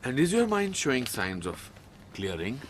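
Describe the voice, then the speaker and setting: Blustery middle-aged indian writer in radio play, pitch jumps all over, bit of background noise. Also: male voice, huge pitch swings, moderate pace. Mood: incredulous. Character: excitable, blustering middle-aged male novelist sidekick. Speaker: actor playing the detective's comic companion. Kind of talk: radio drama acting.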